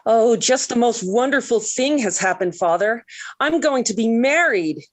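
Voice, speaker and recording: An actress playing a dreamy, melodramatic, lovesick American teenage daughter in a 1940s radio sitcom, gushing and theatrical. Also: female voice, a little high, lively intonation, moderate pace. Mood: lovestruck. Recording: vintage 1940s radio recording.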